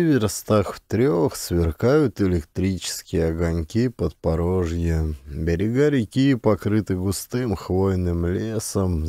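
Mellow male audiobook narrator, dry sound. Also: low-pitched, no echo. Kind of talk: scripted literary narration of a memoir.

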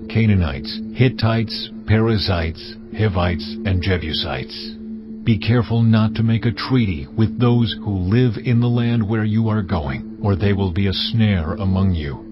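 An actor playing God in a dramatized audio Bible, speaking in a slow, measured, commanding way. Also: male voice, sits low. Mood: solemn. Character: God, deep solemn voice of the Lord. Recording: slightly dull audio with music bed.

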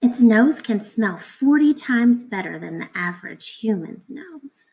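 A woman in her thirties reading a picture book aloud to children like a teacher, expressive and instructive, putting questions to her listeners. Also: fairly high pitch, unhurried, low-quality recording.

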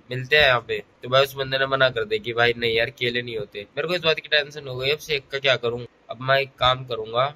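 A man's voice: medium pitch, sharp tone, a little hiss or hum, rough recording. A tired young man in a casual daily vlog, rambling and chatty while lying on a bed, with a phone held at arm's length. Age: young adult. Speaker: vlogger.

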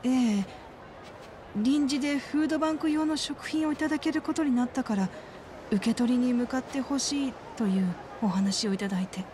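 A woman playing an earnest, troubled middle-aged caretaker in a game cutscene, speaking politely in measured, halting phrases. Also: high-pitched, noisy background, close to an everyday voice. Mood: puzzled, disbelieving. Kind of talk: dramatic game cutscene acting.

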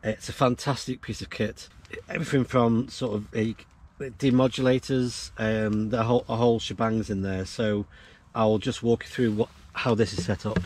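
Middle-aged man, low voice, casual demonstration, noticeable background noise. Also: fairly even intonation, normal speed, darkish tone. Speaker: vlogger demonstrating off-grid radio gear. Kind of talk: casual explanatory vlog. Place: outdoors in a windy field and inside a canvas tent.

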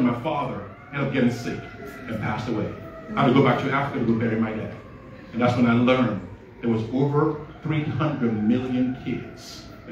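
A man's voice: mid-range voice, background noise. Nigerian man giving an earnest speech in a hall. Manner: storytelling, earnest, rising to emphatic peaks. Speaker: award honoree giving a speech. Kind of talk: inspirational speech. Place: large hall at an awards event, red carpet. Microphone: handheld mic, filmed by a phone from the audience. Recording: echoey hall, far from the phone.

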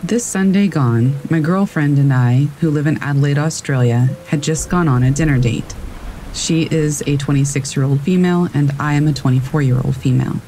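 A man calmly reading scary stories aloud as a narrator, in a steady storytelling manner. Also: fairly high pitch, normal speed, a little hiss or hum, rough recording. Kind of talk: scripted narration of reader submissions. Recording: faint rain in the background. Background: rain.

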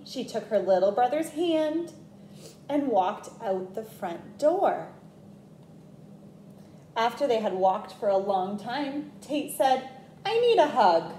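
A woman in her twenties reading a picture book aloud to children in an expressive, deliberate way. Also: on the high side. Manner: animated, deliberate. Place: small plain indoor room. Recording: echoey room with background noise.

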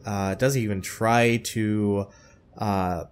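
A man's voice: lowish voice, steady tone, slow, slight background noise. A man with a stuffed-up, nasal voice commentating his own naval game in a matter-of-fact way, with frequent hesitations and apologetic asides. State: stuffed-up nose. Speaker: gameplay commentator.

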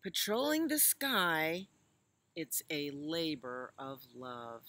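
Woman, 60s, American, children's storytime reader. Slow pacing, bright forward tone, chatty asides. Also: low voice, sing-song, dry recording. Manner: simple, explanatory, asking questions of young listeners. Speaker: children's librarian reading storytime. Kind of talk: reading a picture book aloud to young children, with chatty asides. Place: outdoors in a leafy garden.

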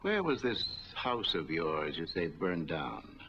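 An actor playing a middle-aged, skeptical bank officer, formal and probing, in a 1940s film drama. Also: male voice, sits low, very animated, slow, pushed and bright, exaggerated voice. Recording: noisy, dull 1940s film soundtrack.

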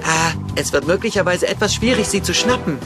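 An actor voicing a clumsy, excitable animal scientist in a children's cartoon audio drama, explaining things hesitantly. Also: male voice, high-pitched, a little fast, over loud music. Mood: flustered.

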